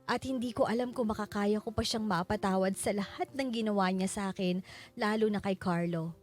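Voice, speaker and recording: An actress playing a sorrowful widow, narrating her letter in an earnest, measured way for a radio drama. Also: mid-range voice, soft background music, lightly acted. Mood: reflective, sorrowful.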